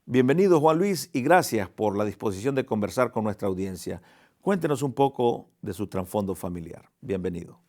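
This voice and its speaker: A man's voice: fairly low pitch, expressive, moderate pace, a little mellow. A man hosting a family counseling show, speaking to listeners in an earnest, preacher-like way and then interviewing a guest. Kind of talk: reflective monologue and interview.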